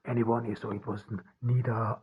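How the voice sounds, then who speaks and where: A man from Austria, an author speaking in a personal podcast monologue, halting and often repeating and restarting his words. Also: lowish voice, flat delivery, slightly rough, muffled recording.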